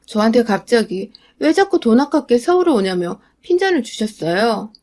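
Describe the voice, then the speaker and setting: A young adult woman reading a scripted first-person radio story in a steady, confiding way. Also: a little high.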